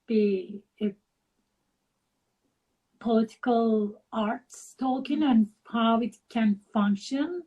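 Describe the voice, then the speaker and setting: Turkish-accented woman guest, middle-aged, slow and halting, pitched a touch high. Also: narrow, tinny audio. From Turkey. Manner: slow, halting, searching for words. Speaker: guest artist. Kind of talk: interview over a live video call.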